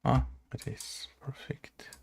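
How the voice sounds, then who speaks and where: A man talking casually to himself as he works through code, muttering in a halting, unscripted way, as a game developer recording his screen work. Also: darkish tone, whispered. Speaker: game developer making a tutorial video. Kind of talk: casual thinking aloud while coding. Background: keyboard typing and clicking.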